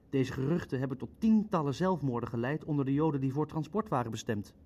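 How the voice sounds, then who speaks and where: An actor playing a sceptical, precise government bureaucrat in an audio drama, speaking in a measured, pointed, formal way. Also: male voice, on the high side, a little mellow. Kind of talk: Dutch audio drama acting.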